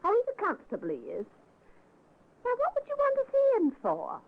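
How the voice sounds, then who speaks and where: A woman's voice: very high-pitched, huge pitch swings, normal speed, heavily acted. A woman playing a proud, no-nonsense landlady in an old radio drama, folksy and emphatic. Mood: brisk, indignant. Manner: folksy, tag-ending emphatic talk. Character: chatty, proud, no-nonsense landlady of a rooming house. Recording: dull old radio recording.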